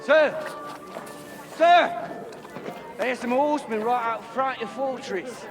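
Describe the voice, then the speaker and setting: An actor playing an excitable castle servant calling out to his master in a game cutscene. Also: very high-pitched, slow pace, over music, a crowd in the background. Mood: urgent.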